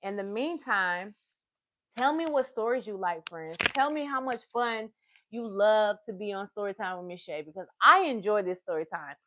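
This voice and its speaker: A woman hosting a children's storytime livestream, chatting to small children and parents in an animated, encouraging, sing-song manner. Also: mid-range voice, moderate pace, no echo.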